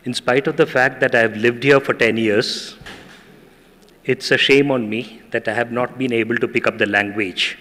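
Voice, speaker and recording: A middle-aged man whose first language is Tamil, giving an earnest, measured speech as a guest speaker at a ceremony. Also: mid-range voice, steady tone, fairly bright tone. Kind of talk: formal speech at an event. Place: hall at a public ceremony. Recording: echoey hall with long reverb.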